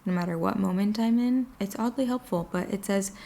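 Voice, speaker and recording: A woman hosting her own podcast alone at home late at night, chatting casually and rambling with lots of "like", her voice worn and slightly raspy from a long day of talking. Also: medium pitch, brisk, mellow. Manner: rambling, filler-heavy talk with frequent "like". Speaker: podcast host. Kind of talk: casual solo chat.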